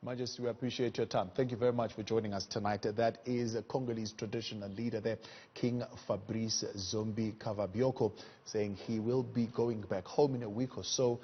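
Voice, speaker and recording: A man's voice: lowish voice, fairly even intonation, a little fast, slightly rough, highs cut off. A man interviewing a guest as a live news anchor.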